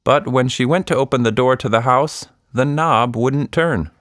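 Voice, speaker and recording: A man narrating a scripted children's story in an expressive storyteller's manner. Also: mid-range voice, moderate pace, no room sound, studio quality. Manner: expressive, animated reading.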